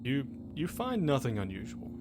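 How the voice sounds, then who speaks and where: A male game master improvises scene description in a conversational way. He often feels aloud for the right word, his pitch is middling, and music plays clearly behind him. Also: huge pitch swings, normal speed. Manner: conversational, searches aloud for words. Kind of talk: improvised tabletop game narration. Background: background music.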